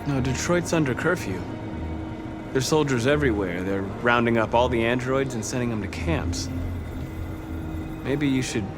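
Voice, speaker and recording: An actor playing a calm, serious male android rebel leader in a video game, composed and direct. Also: mid-range voice, normal speed, pauses often, over music, close to an everyday voice. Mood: calm, guarded. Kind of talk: dramatic video game acting.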